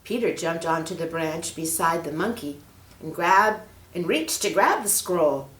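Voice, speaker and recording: A woman reads a children's story aloud at a slow, deliberate pace. Her pitch moves widely and expressively as she speaks directly to young listeners. The room adds a noticeable echo. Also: lively intonation, fairly bright tone. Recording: roomy, echoing room.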